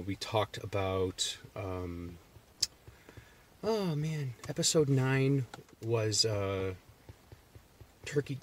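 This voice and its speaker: A man's voice: lowish voice, slight background noise. A man with a Boston accent, chatting loosely in a vlog from inside his parked car, rambling with lots of fillers and restarts. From Massachusetts. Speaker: jerky reviewer. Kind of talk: casual vlog chat.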